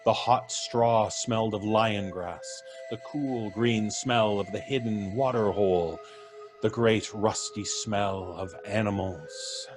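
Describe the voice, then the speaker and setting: A man narrating a classic tale in a measured, vivid way for a live audience show. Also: lowish voice. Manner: measured, vivid, lingering on sensory details. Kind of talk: dramatic live story narration. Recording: live stage recording with faint music.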